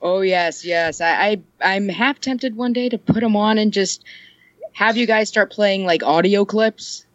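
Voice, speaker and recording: A woman's voice: mid-range voice, unhurried, no room sound, dull audio. A woman chatting casually on a podcast, rambling and joking, laughing often. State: ill. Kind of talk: casual chat.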